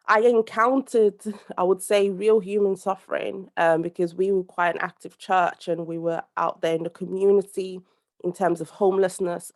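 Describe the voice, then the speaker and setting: A young woman from the UK speaks as a guest on a call, her voice sitting a little low. She talks earnestly and at length, circling back with fillers and restarts as she works out her point. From United Kingdom. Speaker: podcast guest, social commentator. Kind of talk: conversational interview over a call.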